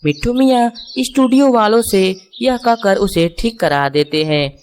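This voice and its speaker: A woman's voice: mid-range voice, a little slow, darkish tone, a little hiss or hum. A woman reading scripted children's stories and puzzles, explaining things and speaking directly to the viewers. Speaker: narrator.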